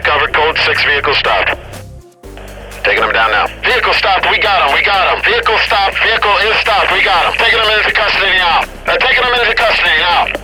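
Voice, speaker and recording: A man playing a brisk, procedural police officer speaking through a radio filter in a racing video game. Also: fairly high pitch, pushed and bright, rough recording.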